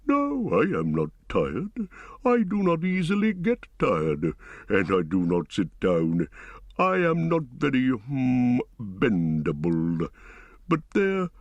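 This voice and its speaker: A man voicing an ancient, slow and thoughtful tree-shepherd in an audiobook, musing and humming as he speaks. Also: mid-range voice, no echo, heavily acted. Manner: slow, deliberate, musing with hums. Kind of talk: audiobook character reading.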